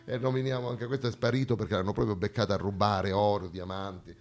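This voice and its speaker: A man's voice: lowish voice, steady tone, fast, a little mellow. A man performing a satirical theatre monologue, lecturing mock-seriously with ironic asides to his listeners.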